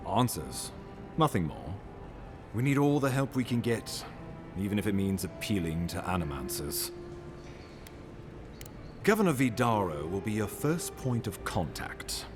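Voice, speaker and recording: Low-voiced, expressive, fretful imperial ambassador. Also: male voice, unhurried, pauses often, music in the background. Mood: resigned, brisk. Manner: formal, theatrical, exasperated. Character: beleaguered male imperial ambassador, formal, fretful and resentful. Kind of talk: video game character acting.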